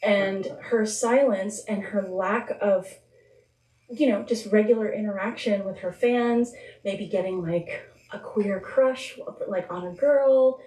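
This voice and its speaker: A woman whose first language is Spanish, speaking as a guest author at a live event in a reflective, halting, informal way. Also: medium pitch, warm tone, a little hiss or hum. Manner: reflective, halting, informal, with frequent filler words. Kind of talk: candid live talk answering audience questions. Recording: echoey room, distant microphone.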